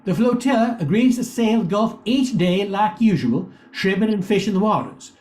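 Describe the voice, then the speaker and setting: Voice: medium pitch, animated, normal speed, darkish tone. An older amateur narrator reading a scripted radio play, occasionally stumbling and repeating words.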